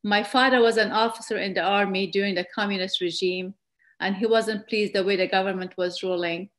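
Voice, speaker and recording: A middle-aged woman from Afghanistan giving a slide presentation over a video call, earnest and measured, often restarting her sentences. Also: mid-range voice, steady tone, fairly bright tone. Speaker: guest presenter. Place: indoor room at home. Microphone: webcam on a video call.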